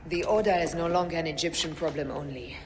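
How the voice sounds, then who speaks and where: An actress playing a determined Egyptian leader of conspirators in a video game, measured and solemn. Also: female voice, low-pitched, long reverb tail, a little hiss or hum, close to an everyday voice.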